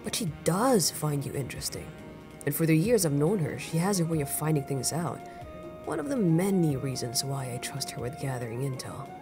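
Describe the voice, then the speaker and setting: A man's voice: on the high side, expressive, moderate pace. A man playing an easygoing, teasing veteran of a crime syndicate in an audio roleplay, conversational and amused. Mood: reflective. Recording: music playing clearly behind the voice.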